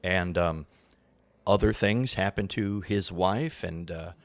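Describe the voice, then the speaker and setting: A man's voice: low voice, takes it slowly, a bit bright, no room sound. A man being interviewed as an actor, explaining casually and often searching for his words.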